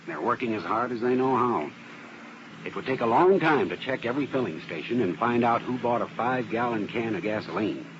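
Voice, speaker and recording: A man's voice: mid-range voice, lively intonation, fairly quick, natural voice. An American actor playing an earnest, methodical male arson specialist in a 1950s radio drama, explaining things with plain-spoken assurance. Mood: assured explaining. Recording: dull old radio recording with heavy noise.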